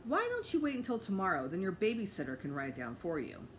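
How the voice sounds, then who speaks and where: A woman's voice: lowish voice, huge pitch swings, fast, slight background noise. A woman voicing an exasperated, self-conscious schoolboy, the older brother in a storybook, with terse replies. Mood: flat, terse.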